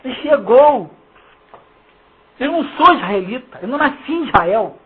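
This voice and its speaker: A preacher with a Carioca Brazilian accent and a husky voice expounds the Bible informally, emphatic and mocking, throwing slangy rhetorical questions at listeners. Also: medium pitch, expressive, unhurried. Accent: Carioca Brazilian Portuguese. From Rio de Janeiro, Brazil. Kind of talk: informal Bible exposition, reading verses and commenting. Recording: muffled, phone-like lo-fi sound.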